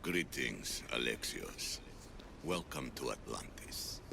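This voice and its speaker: An actor playing an ancient, stern and secretive father figure in a video game, solemn and measured, with a gravelly, breathy voice. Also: rumbling low, sing-song, noisy background, exaggerated voice. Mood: solemn, mysterious. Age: older. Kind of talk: video game cutscene acting. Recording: music behind the voice.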